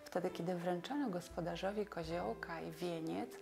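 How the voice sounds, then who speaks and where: A woman in her forties speaks in a lowish voice with a creaky, gravelly edge. She explains things as an expert in a documentary interview, recorded indoors with music clearly behind her. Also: normal speed. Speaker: museum expert on folk customs. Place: furnished indoor room.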